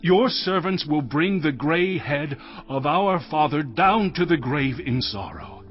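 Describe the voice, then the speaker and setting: An actor playing an earnest, humble grown son pleading before a lord in a dramatized scripture recording. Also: male voice, fairly high pitch, expressive, slow pace. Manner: pleading, deferential, emotive. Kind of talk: dramatized scripture audio acting. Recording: slightly dull recording under music. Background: clear background music.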